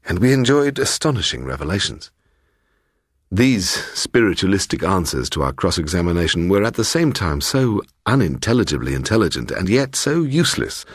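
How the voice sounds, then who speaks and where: Male audiobook narrator for literary ghost fiction: very low, creaky, with lively, expressive intonation. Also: no echo, clean studio sound. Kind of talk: dramatic first-person ghost story narration.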